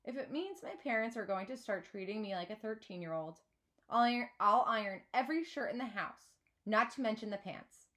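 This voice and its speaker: A woman reading a children's novel aloud like a teacher, with expressive, dramatised narration. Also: a little high, a little fast.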